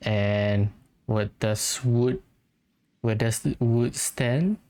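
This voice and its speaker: Very low-voiced woman reacting to a game. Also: very slow, dark tone. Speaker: gamer commenting on a playthrough. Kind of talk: casual let's play reactions, reading along. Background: game music.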